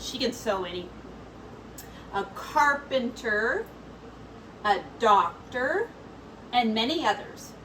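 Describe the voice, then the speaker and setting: Casting: woman teacher for picture-book reading, lively swooping intonation, slow delivery, slightly distant recording with faint noise. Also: fairly high pitch. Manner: expressive, deliberate storytelling with brief asides to listeners. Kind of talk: reading a children's story aloud.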